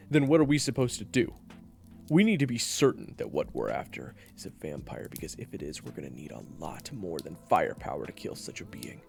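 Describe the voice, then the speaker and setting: Man playing very deep, gravelly, gruff monster hunter. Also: exaggerated voice. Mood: frustrated. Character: gruff, blunt, impatient male monster hunter. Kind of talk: fantasy audio roleplay acting.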